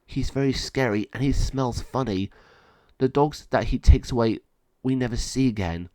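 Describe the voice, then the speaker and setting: A man's voice: mid-range voice, moderate pace, mellow, no echo, close to an everyday voice. Man voicing an emphatic, determined storybook pound dog. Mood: ominous. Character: weary but friendly caged dog determined to dig out.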